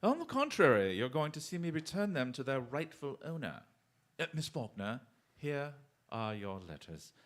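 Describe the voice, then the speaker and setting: Male actor as gentleman detective: mid-pitched, formal, deliberate, chivalrous radio-drama delivery. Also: sing-song. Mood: gallant, firm. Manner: formal, polite, theatrically deliberate. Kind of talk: stage-style radio drama acting.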